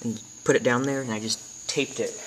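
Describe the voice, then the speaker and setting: An American man giving a casual, hands-on video tutorial in an informal, halting way, thinking aloud as he goes. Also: medium pitch, fairly even intonation. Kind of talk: casual hands-on how-to demonstration. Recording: noisy amateur camera recording with clicks.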